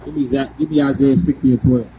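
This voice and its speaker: A man's voice: mid-range voice, fairly even intonation, fast, noisy background. A man preaching on the street, pressing his points with repeated questions. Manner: insistent, hammers points with repeated "right?".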